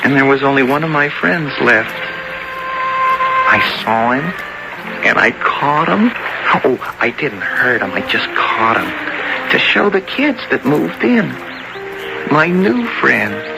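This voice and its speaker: Radio play role: stubborn, innocent young boy, slow-paced with a creaky edge, 1970s broadcast sound. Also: medium pitch, huge pitch swings, full character voice, rough recording. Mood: reflective. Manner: earnest, wide-eyed, halting. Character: eager, nature-loving country boy, innocent and stubborn. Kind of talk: 1970s radio drama acting, narrating and dialogue. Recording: old radio recording, music underneath.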